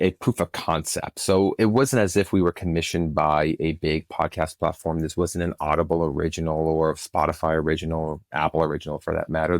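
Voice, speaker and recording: A man's voice: sits low, no echo, clean studio sound. A man, a producer and podcast guest, talking casually in an interview and explaining his work.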